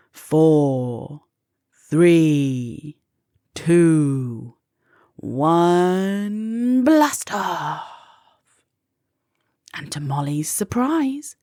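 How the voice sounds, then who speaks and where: A woman narrating a children's storybook expressively, with deliberate pauses between phrases. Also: sits low, very animated, mellow, dry recording, clean studio sound.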